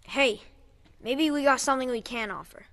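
An earnest young scavenger from the tunnels in an audio drama. The voice is fairly high, pleading and sincere. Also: female voice, moderate pace, a bit bright. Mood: earnest, persuasive. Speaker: actor.

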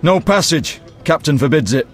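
An actor playing a gruff, dutiful town guard in a fantasy game. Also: male voice, on the high side, animated, normal speed, a little hiss or hum, natural voice. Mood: stern.

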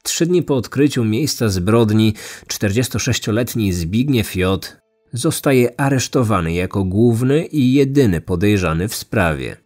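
A man's voice: fairly low pitch, animated, measured pace, darkish tone. A man narrating a scripted true crime story as the host of a podcast. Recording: music behind the voice. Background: background music.

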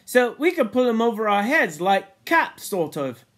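A man's voice: high-pitched, big pitch swings, a little slow, a bit bright, voice pushed up, heavily acted. A man voicing a timid, worried young twin boy who protests in schoolboy slang, in a storybook reading. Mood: protesting.

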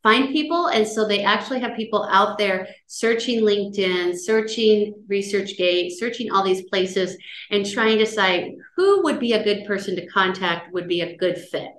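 A middle-aged woman with a loud voice giving a casual career-services talk, chatty and conversational, often checking in with her listeners. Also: medium pitch. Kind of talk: casual lecture on job searching. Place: office, indoors, on a video call. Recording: roomy video-call audio.

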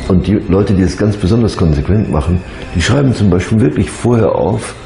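A male expert with a very low, mellow voice explains things in an interview. His phrasing is hesitant, and the sound is echoey with faint music underneath. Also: animated, a little fast, creaky. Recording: roomy echo, music underneath.

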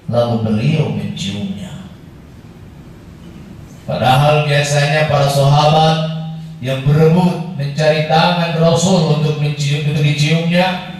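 A middle-aged man with an Indonesian accent giving a formal, measured and emphatic Friday sermon with Arabic recitation. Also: on the high side. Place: indoor mosque prayer hall. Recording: very echoey hall, distant, faint noise.

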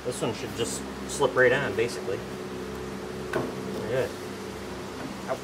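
A man's voice: medium pitch, unhurried. Guy helping put a machine together, short practical comments, far-off voice, lots of noise. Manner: brief, practical remarks. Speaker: son helping with the assembly. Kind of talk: offhand remarks while working. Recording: distant voice with loud background noise.

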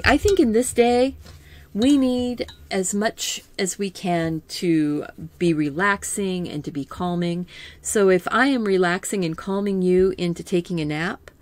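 A middle-aged woman teaching a live painting lesson in a calm, soothing voice, gently thinking aloud as she works. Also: mid-range voice. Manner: slow, gentle, thinking aloud with small laughs. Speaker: art teacher.

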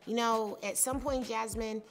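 A woman in her thirties tells how she started her company, with a high-pitched voice. She talks candidly and conversationally, with plenty of fillers. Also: steady tone, unhurried. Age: 30s. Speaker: startup founder. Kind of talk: personal story told in interview. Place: studio set with leafy wall.

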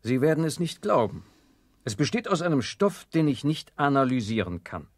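A man playing a thoughtful science professor with a formal, deliberate manner in a children's sci-fi radio play. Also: medium pitch, very animated, no room sound, clean studio sound. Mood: grave.

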